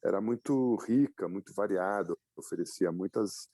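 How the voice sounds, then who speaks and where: Deep gravelly-voiced man in his fifties. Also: moderate pace, warm tone, no echo. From Brazil. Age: 50s. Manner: reflective, many fillers and restarts. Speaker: guest, publisher and adventurer. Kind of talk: casual interview storytelling.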